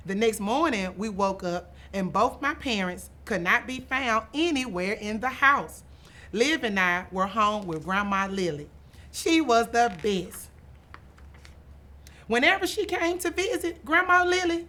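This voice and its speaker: A woman reading a children's picture book aloud in an expressive storytelling manner, stretching some phrases for emphasis. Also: on the high side, measured pace. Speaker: author and school administrator reading aloud.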